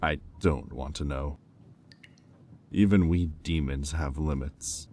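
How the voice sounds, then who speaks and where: A man playing a haughty, sardonic demon bidder in an audio roleplay, speaking with condescension and deliberate pauses. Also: rumbling low, a little mellow, slight background noise. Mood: bored disdain.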